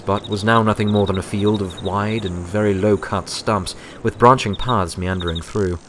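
Low-voiced man narrating a story. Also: a bit bright, slight background noise. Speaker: audiobook narrator.

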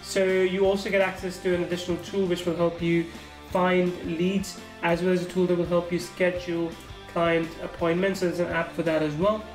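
A man with a high voice and fairly even intonation talks through product pages, casual and thinking aloud. His voice sounds roomy and echoey, with faint guitar music underneath. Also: moderate pace. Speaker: software reviewer. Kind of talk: screen-recorded product walkthrough.

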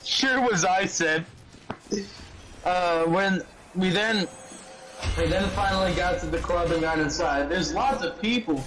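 A man doing a mocking dramatic reading of fan fiction, halting and stumbling over words, with casual sweary asides. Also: high-pitched, background noise.

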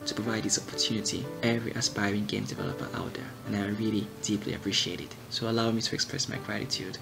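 A young man talks earnestly and clearly, with a gravelly edge to his voice. He speaks into an earphone mic, and music plays underneath. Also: lowish voice, fairly even intonation, fast talker. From Cameroon. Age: young adult. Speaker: software engineering graduate. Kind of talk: prepared testimonial.

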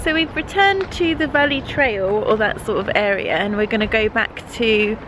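A woman's voice: on the high side, moderate pace, very noisy. A young woman in her twenties chatting casually to a handheld camera, giggling often. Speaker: travel vlogger. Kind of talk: casual travel vlog. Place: outdoors on a trail and in a cafe. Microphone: handheld camera at arm's length.

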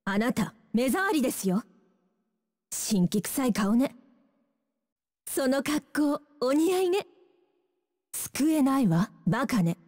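A haughty female assassin in a fighting game. Her delivery is cool, disdainful and cutting, swinging from measured scorn to sharp commands in a long reverb. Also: high voice, very animated, exaggerated voice. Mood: cold, mocking. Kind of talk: Japanese fighting game taunts.

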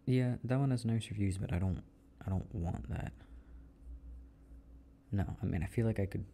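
A young man in a casual boyfriend roleplay, chatting softly while he works at his computer and often trailing off into mutters and low laughs. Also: moderate pace, vocal fry, slight background noise. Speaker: audio roleplay performer. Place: at home at a computer.

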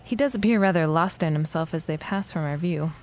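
A woman's voice: sits low, a little fast, a little mellow, a little hiss or hum, natural voice. A woman playing a dry, sensible young schoolteacher and artist in a period audio drama, wry and matter-of-fact.

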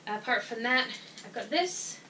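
A woman's voice: fairly high pitch, lively intonation, normal speed, sharp tone, slight background noise. A woman in her thirties giving casual packing advice in a small bedroom, chatty with frequent ums, recorded by a camera across the room. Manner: chatty, frequent "um" fillers, explains while packing. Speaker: vlogger giving cruise packing tips. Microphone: fixed camera from above across the room. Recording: echoey room, voice from a distance.

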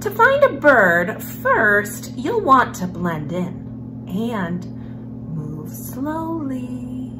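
A young woman hosting a children's story time, reading a picture book aloud in an expressive storyteller's manner. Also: a little high, drawn-out words. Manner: expressive, animated storyteller, with hushed passages. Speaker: host of a children's read-aloud series. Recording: music behind the voice. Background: background music.

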